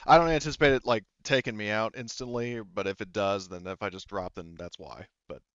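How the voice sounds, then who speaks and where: Quick-talking man, slightly dull microphone. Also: lowish voice, fairly bright tone, smooth, no room sound. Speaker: game master. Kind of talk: casual explanatory game-table chat.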